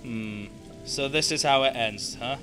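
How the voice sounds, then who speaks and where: A man's voice: mid-range voice, a bit bright, faint music behind, lightly acted. A man playing a gloating, mocking serial killer villain in an amateur radio play, with theatrical, taunting delivery. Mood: smug menace. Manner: mocking, taunting, drawn-out menace.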